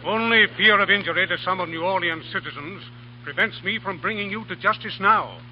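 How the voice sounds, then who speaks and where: An actor playing a stern, pompous governor in a 1938 radio drama. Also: male voice, sits high, fairly quick, a little hiss or hum, lightly acted. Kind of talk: 1930s radio drama acting. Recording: dull old radio broadcast recording.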